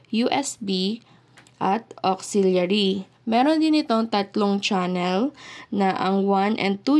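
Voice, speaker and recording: A woman's voice: mid-range voice, darkish tone. A woman with a Filipino accent presenting a product update, speaking directly to viewers in an explanatory way. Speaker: product presenter for an audio brand. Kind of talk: product update video presentation, semi-scripted.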